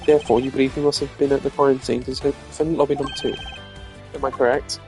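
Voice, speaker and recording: A man voicing a composed, dry-witted young prosecutor in a courtroom video game, formal, measured and sardonic. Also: mid-range voice, level tone, music in the background, lightly acted. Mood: pressing. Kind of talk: game character voice-acting.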